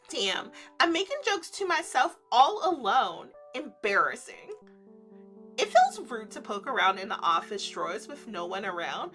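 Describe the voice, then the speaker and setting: A woman's voice: a little high, sharp tone. A woman reading a visual novel aloud, with playful, effusive, casual reactions. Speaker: streamer playing a visual novel.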